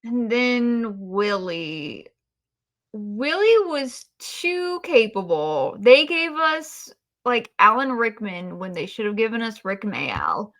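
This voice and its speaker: A woman's voice: on the high side, sing-song, slow pace, fairly bright tone. A woman co-hosting a podcast, giving blunt, opinionated critique in a casual chat style. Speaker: podcast co-host.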